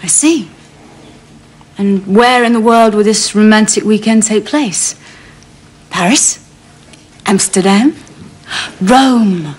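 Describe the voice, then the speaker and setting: A woman's voice: mid-range voice, takes it slowly, pauses often, slightly rough. A woman in a sitcom role with a dry, teasing wit. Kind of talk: sitcom acting.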